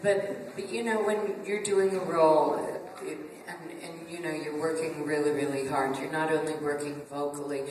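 A woman voice actress with a deep voice, speaking earnestly on a convention panel. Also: fairly even intonation, normal speed, few pauses, darkish tone. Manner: earnest, self-described deep voice. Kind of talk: casual convention Q&A. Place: large convention function room. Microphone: table mic seen from far camera. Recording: echoey hall from far off, hiss.

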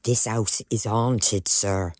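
An actress playing an anxious, deferential old British housekeeper who confides gossip in an audio drama. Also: female voice, a bass voice, fairly even intonation, slow pace, a little mellow, no echo, studio quality. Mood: secretive, ominous. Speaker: actor.

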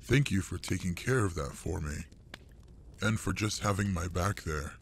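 A man playing a gruff older male monster adventurer in an intimate audio roleplay, fond and teasing with a human partner. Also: sits low, normal speed, slight background noise. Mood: grateful.

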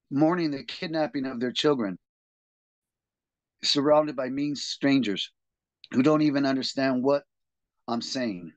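A man hosting a reading group, reading a text aloud in an earnest, steady way and then leading the discussion over a video call. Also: mid-range voice, no room sound. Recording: dull, dry lo-fi video call audio.

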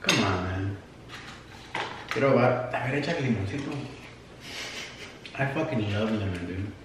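A man's voice: on the low side, lively intonation, normal speed. A young man who stutters, joking casually in English and Spanish while sitting at a table eating. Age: young adult. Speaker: family vlogger. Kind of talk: casual chat. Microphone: far camera. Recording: echoey room, distant mic, faint hiss.

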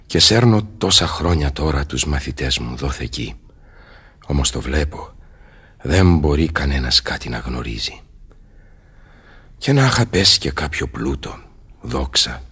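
An actor playing an aged, weary, despairing scholar turned sorcerer, declaiming verse theatrically in a radio play. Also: male voice, very deep voice, fairly bright tone, husky, heavily acted. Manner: theatrical, impassioned, slow declamation.

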